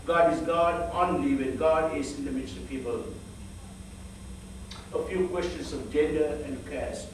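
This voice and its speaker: Earnest male preacher, fairly high voice, measured rhetorical delivery, faint room hum behind. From northern Sri Lanka. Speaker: speaker at a church fellowship. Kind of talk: sermon. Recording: echoey hall, voice from far off.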